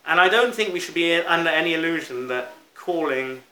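A man's voice: on the high side, lively intonation, fairly quick, bright, forceful tone. A British man preaching a sermon in an earnest, reflective manner, stressing key words as he goes. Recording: roomy echo, faint background noise.

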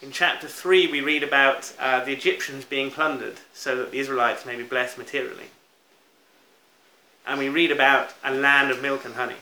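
A man's voice: mid-range voice, fairly even intonation, normal speed, pushed and bright. A British man preaching a sermon in an earnest, reflective manner, stressing key words as he goes. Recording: roomy echo, faint background noise.

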